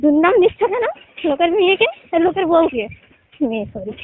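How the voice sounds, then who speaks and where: A young woman speaks. She talks fast and answers back in a casual, argumentative way. The call is tinny and noisy. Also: extremely high pitch, sing-song. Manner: retorting, argumentative. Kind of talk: casual teasing phone chat. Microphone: telephone.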